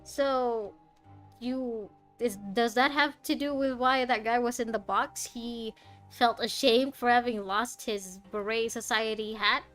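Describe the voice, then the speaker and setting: A woman's voice: fairly high pitch, fairly even intonation, unhurried, a bit bright. A woman streaming a video game, giving casual, reactive commentary as she muses informally on what she plays. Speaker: gaming streamer. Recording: game music behind the voice.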